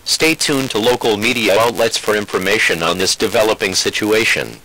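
A man's voice: lowish voice, moderate pace, pushed and bright, dry recording, low-quality recording. A synthetic-sounding man reading a scripted emergency alert bulletin in a formal, impersonal way. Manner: formal, impersonal, synthetic text-to-speech delivery.

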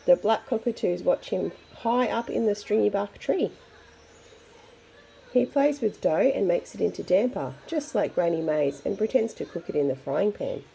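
A woman reading a picture book aloud to young children in an expressive, chatty way. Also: medium pitch, normal speed, gravelly, breathy edge, poor audio. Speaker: storybook reader for children.

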